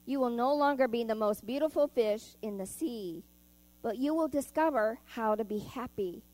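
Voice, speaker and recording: A woman voicing a wise old female octopus from a children's storybook, deep and solemn. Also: a little mellow, natural voice. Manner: solemn, measured advice.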